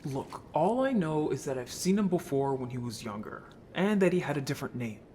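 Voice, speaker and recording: A man's voice: medium pitch, sing-song, a little fast, mellow, slight background noise, lightly acted. A man playing an earnest, sensitive merman in an audio drama, hesitant and emotional in delivery. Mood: earnest, concerned. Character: earnest, sensitive merman haunted by childhood friendship.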